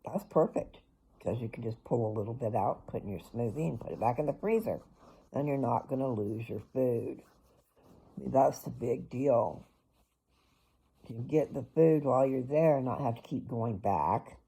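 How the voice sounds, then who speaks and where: An older woman with a gravelly, husky voice. She rambles loosely through a casual personal vlog and talks straight to her viewers. Her tone is dark and mellow. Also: rumbling low, lively intonation, normal speed, low-quality recording. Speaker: vlogger.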